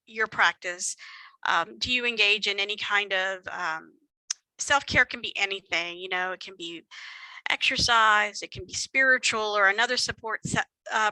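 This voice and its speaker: Chatty Texan woman with a bright voice. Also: medium pitch, no echo, rough recording. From Texas. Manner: chatty and self-deprecating, many fillers. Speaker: presenter at a professional workshop. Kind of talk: conversational lecture with slides.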